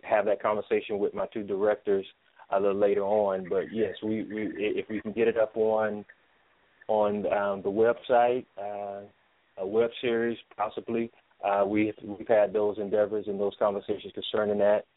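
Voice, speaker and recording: A man answering interview questions as a theater producer, speaking haltingly and often repeating his words. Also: lowish voice, little pitch movement, dry recording. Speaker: stage play writer and producer. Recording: thin phone-line sound.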